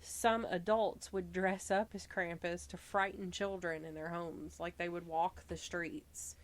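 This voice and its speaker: Slow-talking Southern woman podcaster. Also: fairly low pitch. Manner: relaxed, chatty, stumbles and laughs at herself. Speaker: podcast host. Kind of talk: reading researched notes with casual asides.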